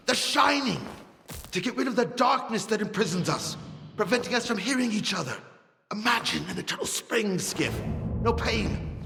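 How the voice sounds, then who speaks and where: An actor playing a fervent visionary in a video game, with a gravelly voice and grandiose, theatrical delivery. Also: male voice, sits high, long reverb tail, background noise. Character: fervent visionary man preaching a painless new world. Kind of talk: video game dramatic acting.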